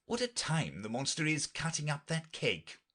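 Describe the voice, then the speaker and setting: A man's voice: fairly high pitch, wildly swooping pitch, moderate pace, bright, forceful tone, voice pushed up, heavily acted. A man voicing a tired, grumpy great lion from a storybook, with a weary, growling drawl. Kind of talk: storybook character reading.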